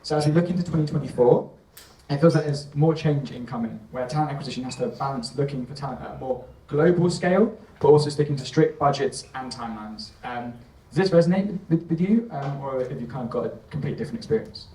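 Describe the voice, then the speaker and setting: A man's voice: mid-range voice, fast talker, warm tone, a little hiss or hum. A man moderating a conference panel in an easygoing, casual way. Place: conference stage. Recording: echoey hall, distant mic.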